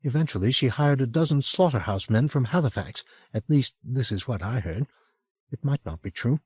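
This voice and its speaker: A man's voice: mid-range voice, expressive, moderate pace, husky, dry recording, voice pushed up. A man voicing a chatty middle-aged woman. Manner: lilting and chatty, drops to a hush. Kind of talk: audiobook character voice.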